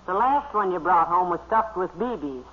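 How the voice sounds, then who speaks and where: A woman playing a soft-hearted, gently teasing wife with wry delivery in 1940s radio comedy. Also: on the low side, lively intonation, moderate pace, natural voice. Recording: tinny noisy vintage radio recording.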